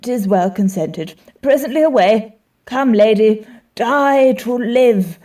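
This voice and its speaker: A woman playing a calm, compassionate friar in a Shakespearean radio play, giving measured, solemn and persuasive counsel. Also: a little high. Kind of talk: Shakespearean radio drama acting. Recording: home recording, slightly distant mic.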